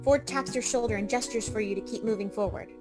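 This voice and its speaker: A woman's voice: fairly high pitch, fast. A woman reading scripted second-person narration for a story game. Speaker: narrator and player character reader. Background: music.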